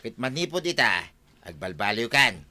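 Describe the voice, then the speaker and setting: Sharp, bright-voiced village son, Ilocano accent. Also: male voice, medium pitch, very animated, normal speed. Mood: teasing. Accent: Ilocano Filipino. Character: outspoken grown son of a village mother. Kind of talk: radio comedy-drama acting.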